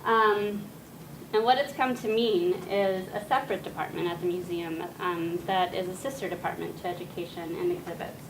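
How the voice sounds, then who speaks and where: A soft-spoken woman gives a lecture, her quiet voice picked up from a distance. She pauses often with small fillers as she explains. A steady hiss of room noise sits under her words. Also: on the low side. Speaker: guest speaker. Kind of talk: academic talk to an audience. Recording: distant mic with noisy room.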